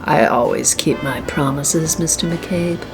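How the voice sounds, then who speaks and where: A woman's voice: low voice, a little slow, over music. A woman playing a proud, guarded young socialite in a 1940s noir radio play, speaking in a composed, formal way. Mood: composed, secretive.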